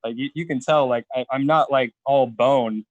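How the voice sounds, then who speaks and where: A man with a middle-pitched voice, recorded dry and close. He talks casually as a guest in a live debate. His delivery is halting and defensive, coming in short pieces. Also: steady tone, dry recording, highs cut off.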